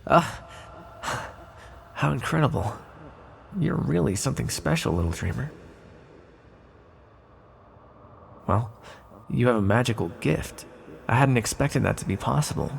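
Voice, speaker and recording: A man playing an ancient sealed villain, a calm and tender magical being who speaks in a measured, formal, reassuring way in an ASMR roleplay. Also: mid-range voice, creaky, a little hiss or hum. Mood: amazed, admiring. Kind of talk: ASMR roleplay, scripted monologue to the listener.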